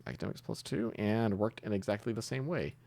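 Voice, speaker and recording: Very deep, gravelly-voiced man teaching. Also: a little mellow. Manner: thinking aloud, hesitant pauses. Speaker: tutorial host, programming instructor. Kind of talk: casual screen-share coding tutorial, improvised.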